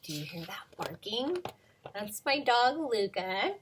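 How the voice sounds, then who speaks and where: A woman who is a preschool teacher, reading a picture book aloud to young children at home in a playful, expressive way, asking questions and sometimes whispering. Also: medium pitch, takes it slowly, noisy background.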